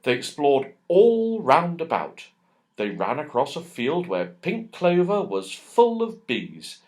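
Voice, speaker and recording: A man's voice: fairly high pitch, wildly swooping pitch, slow pace. A man narrating a children's storybook expressively, drawing out key words for emphasis. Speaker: audiobook narrator.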